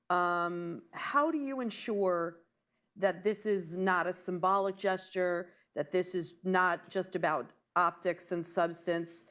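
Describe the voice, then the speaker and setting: A woman's voice: mid-range voice, a bit bright. A woman moderating a panel discussion at an event, conversational, with fillers and restarts. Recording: roomy hall mic.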